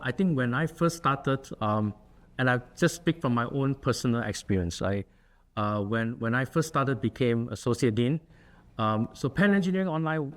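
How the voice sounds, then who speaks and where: Singaporean man, middle-aged, earnest speaker, conference Q&A, mid-pitched voice, lecture room. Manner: earnest, with frequent fillers and restarts. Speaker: associate dean giving a talk. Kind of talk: conference talk and Q&A answers.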